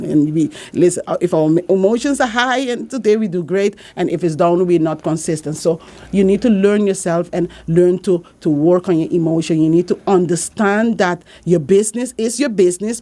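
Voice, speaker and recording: A woman's voice: on the low side, moderate pace, hardly any pauses, warm tone. A woman with a Dutch accent, a business support consultant from the Caribbean, earnestly giving advice in an interview and repeating her key points. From Saint Martin, Caribbean. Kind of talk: interview answers.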